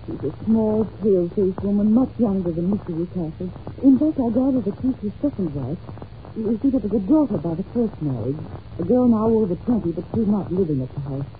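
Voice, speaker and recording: A woman's voice: mid-range voice, animated, fairly quick, close to an everyday voice. A woman playing an anxious, polite young governess in an old-time radio drama, speaking earnestly and hesitantly on a muffled, hissy recording. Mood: earnest unease. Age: young adult. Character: anxious, well-mannered young governess confiding in a detective.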